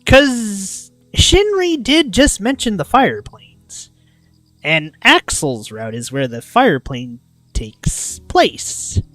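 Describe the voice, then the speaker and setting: A livestreamer reading a visual novel aloud with expressive narration and casual, goofy asides. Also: mid-range voice, drawn-out words, no echo, faint music behind, poor audio.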